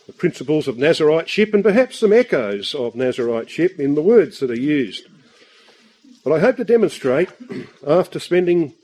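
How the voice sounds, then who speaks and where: A man with a husky, congested voice from the flu, giving an earnest, measured Bible study talk with frequent fillers. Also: fairly high pitch, warm tone. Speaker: visiting Bible study speaker.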